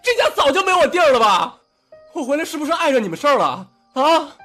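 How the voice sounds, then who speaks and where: A man's voice: very high-pitched, big pitch swings, fast, bright, forceful tone, heavily acted. A man acting a foul-mouthed, hot-tempered younger brother in an audio drama, confrontational and emotionally raw. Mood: furious, hurt. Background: background music.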